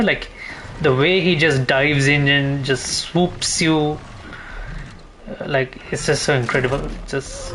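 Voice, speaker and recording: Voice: mid-range voice, fairly bright tone, slight background noise. A gamer giving casual, informal play-by-play commentary, thinking aloud and swearing mildly now and then. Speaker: gameplay streamer.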